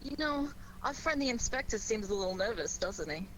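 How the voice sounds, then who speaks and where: A woman's voice: mid-range voice, expressive, a little fast, natural voice. An American woman playing a detective's curious, affectionate companion in a playful, eager manner, in 1940s radio style. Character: sleuth's bright, affectionate, curious lady companion. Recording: noisy vintage 1940s radio recording.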